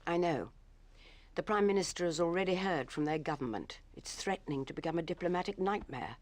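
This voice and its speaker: An actress playing a stern, authoritative older British spy chief in a video game cutscene, speaking in a curt, commanding way. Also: female voice, fairly low pitch, lively intonation, fairly quick, lightly acted. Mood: stern, impatient.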